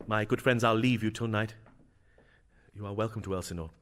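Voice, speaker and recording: A man playing a brooding, grieving young prince in a Shakespearean drama, with a theatrical, emphatic delivery that moves between hushed and declaiming. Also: fairly low pitch, a little fast, close to an everyday voice. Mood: courteous, commanding.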